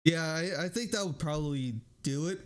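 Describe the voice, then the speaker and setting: A man who streams games, chatting casually in a halting way and muttering to himself. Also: a little high. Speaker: live streamer. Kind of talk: casual gaming stream chat.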